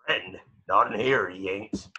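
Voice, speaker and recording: A man voicing a gruff, combative cartoon boss with a raspy voice and a goading, intense manner. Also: fairly low pitch, a little slow, pushed and bright, muffled recording, heavily acted. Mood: gruff, warning. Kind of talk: cartoon script voice acting.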